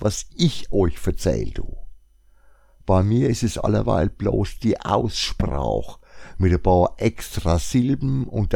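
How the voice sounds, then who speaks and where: A man's voice: lowish voice, vocal fry, mellow, dry recording, clean studio sound. A man with a Franconian German accent chatting casually to his listeners in a rambling blog monologue, full of wry asides. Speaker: shop blogger.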